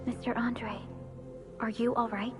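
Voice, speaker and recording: A woman voicing a composed, polite young supervisor in a video game, speaking in a gentle, formal, measured way. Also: a little high, flat delivery, music in the background, natural voice. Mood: concerned.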